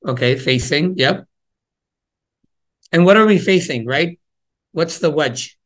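A man's voice: fairly high pitch, animated, slow, a bit bright. Male workshop presenter on a video call, conversational, lots of "you know" and restarts, engages the room. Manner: conversational, engaging the audience with questions; frequent fillers and repeated words. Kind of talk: interactive parenting workshop talk.

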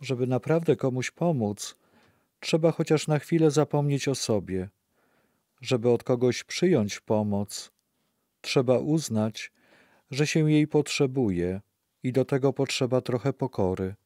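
A man's voice: medium pitch, a little slow, mellow, no echo, studio quality, lightly acted. A man playing a reflective narrator of faith in a scripted radio play.

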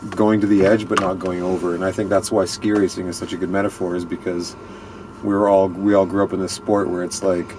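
Deep, flat-voiced man rambling earnestly. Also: a little fast, background noise. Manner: earnest, rambling, with many restarts. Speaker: guest, ski racer. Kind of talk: casual interview chat. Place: inside a small parked vehicle at night.

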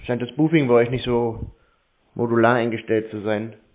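A man answering cold calls in casual, terse, deadpan stonewalling replies. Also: medium pitch, moderate pace. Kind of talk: casual phone talk. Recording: thin, band-limited phone call sound.

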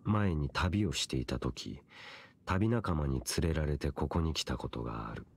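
Very deep, brooding knight. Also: male voice, creaky, a little mellow, slightly rough, full character voice. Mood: reserved, brooding. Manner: solemn, curt, archaic and measured. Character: stoic, brooding male knight wanderer in a fantasy game. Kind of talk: Japanese anime game voice acting.

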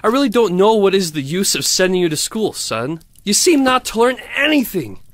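A pompous, self-righteous miller in an audio drama. His voice sits fairly high and swings widely in pitch as he moralizes with smug satisfaction. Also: very animated, moderate pace, fairly bright tone, dry recording. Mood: scolding, pompous. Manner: pompous, sententious. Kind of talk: theatrical audio drama acting.

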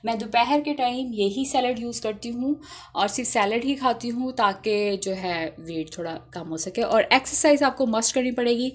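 A woman's voice: a little high, normal speed. A woman chattily walking viewers through a recipe as a home cook, mixing in English phrases. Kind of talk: casual recipe demonstration. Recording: noticeable background noise, kitchen clatter.

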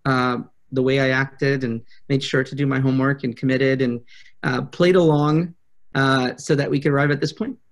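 A man in his thirties speaking as a client on a video call from an office, in a deliberate but blunt, assertive way. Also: medium pitch. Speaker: prospective coaching client, public speaker. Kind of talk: conversational sales video call. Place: small indoor office. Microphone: video call, computer microphone.

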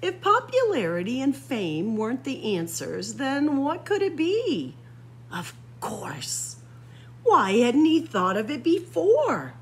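A woman reads a picture book aloud to children in a lively, rising and falling voice that sits a little high. She takes her time, leaving dramatic pauses and stretching out key words. A noticeable hum of background noise runs under the recording. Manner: dramatic pauses and drawn-out emphasis for young listeners. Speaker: library story-time reader. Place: library room with bookshelves.

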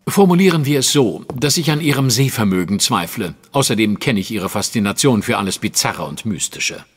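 A man playing a cool, sceptical Victorian detective in an audio drama, speaking precisely and formally with a husky, condescending tone. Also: fairly low pitch, expressive, fairly quick, big-room sound. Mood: superior, assured. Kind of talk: theatrical German audio drama acting.

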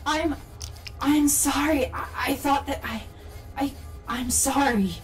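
An actress playing a guarded, troubled rebel captain with a measured, brooding manner in a sci-fi audio drama. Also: female voice, high voice, faint music behind. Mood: shaken. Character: guarded, troubled female rebel captain, not fully human.

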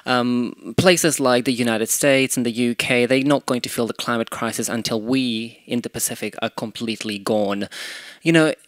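A man's voice: mid-range voice, fairly even intonation, normal speed, dry recording. A young man from Fiji speaking earnestly on a conference panel into a handheld microphone. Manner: earnest, impassioned. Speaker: youth panelist. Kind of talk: panel discussion. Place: conference room with window blinds.

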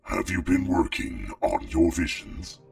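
A man playing a huge, imposing, scornful father dragon in a fantasy audio drama, with a stern, gravelly growl. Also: low voice, very animated, a little slow, a little mellow, far from the mic, faint music behind, full character voice. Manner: stern, imperious, clipped.

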